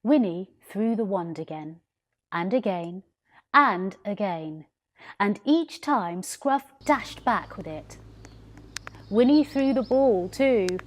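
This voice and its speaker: Female children's storyteller, medium pitch, measured pace, expressive scripted narration. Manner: expressive, emphasizes sound words. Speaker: professional storyteller. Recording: music behind the voice. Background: music.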